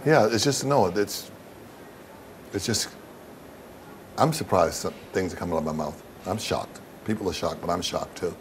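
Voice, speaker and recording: A man's voice: low-pitched, normal speed, long pauses, slight background noise. A man giving blunt, emphatic answers in a candid interview, repeating phrases for stress.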